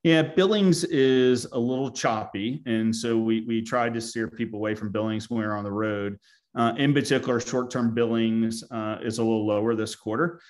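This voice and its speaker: A man with a medium-pitched voice speaks as a company executive. He is giving answers to questions on an earnings call. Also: fairly even intonation, moderate pace, darkish tone.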